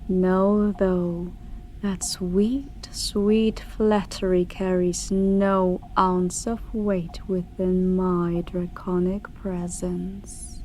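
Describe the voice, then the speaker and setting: Female actor for ancient eldritch she-dragon, ASMR fantasy. Mellow, dark timbre, imperious and scornful, deliberate archaic phrasing, soft background ambience. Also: fairly low pitch, flat delivery, slight background noise. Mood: haughty, disdainful. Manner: grandiose, archaic, deliberate, breathy whispered passages. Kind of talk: slow ASMR fantasy monologue.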